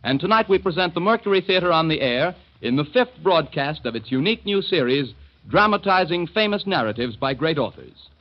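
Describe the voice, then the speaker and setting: A man making a formal, measured network announcement on a 1930s radio broadcast. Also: fairly high pitch, sing-song. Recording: muffled 1930s radio with faint music.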